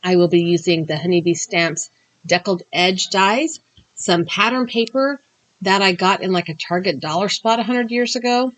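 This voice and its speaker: A woman's voice: fairly low pitch, moderate pace. A woman with a slightly scratchy voice after a cough hosts her channel, chatting through a crafting tutorial and then narrating history in an explanatory way with the odd um. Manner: chatty and explanatory, with occasional ums. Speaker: crafting and true crime channel host.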